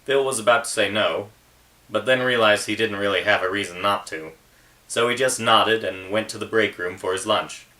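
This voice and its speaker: A man with a fairly low, bright and forceful voice speaks as an author presenting his own writing. The microphone sounds set well away from him. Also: normal speed, recorded from a distance, poor audio. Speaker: author reading from own book. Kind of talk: casual book intro, then narrated reading.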